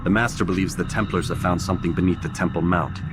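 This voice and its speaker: An actor playing an arrogant, cold medieval assassin with a haughty, terse manner in a video game. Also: male voice, low voice, steady tone, quick pace, music in the background, natural voice. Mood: cool arrogance. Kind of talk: video game cutscene dialogue.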